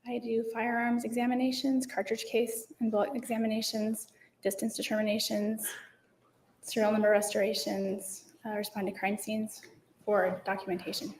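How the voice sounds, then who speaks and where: A woman's voice: a little high, little pitch movement, moderate pace, a little mellow, slight background noise. A woman, a forensic firearms examiner, giving courtroom testimony in short answers that rise at the end. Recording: roomy courtroom audio from far off.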